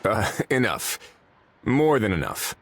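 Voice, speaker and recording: An actor voicing a composed, dry-witted adult official in a video game, speaking in a wry, quietly commanding way. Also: male voice, lowish voice, sing-song, takes it slowly, exaggerated voice. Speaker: game character.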